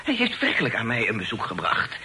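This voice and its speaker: Radio play: anxious, deferential old peacemaker, fussy politeness, very lively pitch, noisy old recording. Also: male voice, fast talker. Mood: anxious, pleading. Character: timid, deferential elderly man, anxious peacemaker.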